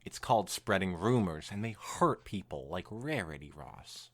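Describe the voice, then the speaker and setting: Young man, lowish voice, highly varied intonation, story narration, casual podcast banter. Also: big pitch swings, moderate pace. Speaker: podcast host reading fan fiction aloud.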